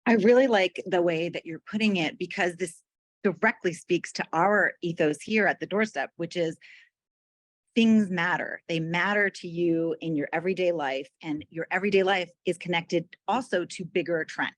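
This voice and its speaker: A woman's voice: fairly low pitch, moderate pace, no echo. Woman podcast cohost, chatty, asks with lots of "right?", dry close sound. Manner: conversational, builds questions with "right?". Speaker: podcast co-host. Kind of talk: podcast interview.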